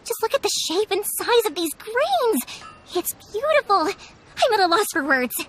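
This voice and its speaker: An actress playing a cheerful, energetic young woman in an anime-style video game, chatty, expressive and earnest. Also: very high voice, normal speed, slight background noise, heavily acted. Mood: admiring.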